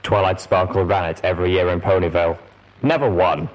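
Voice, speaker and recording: A nostalgic little robot watcher speaks in a low, mechanical voice, as a male reader plays it. It announces its memories wistfully, as a character in a storybook. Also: fairly even intonation, fairly quick, poor audio. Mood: nostalgic, announcing.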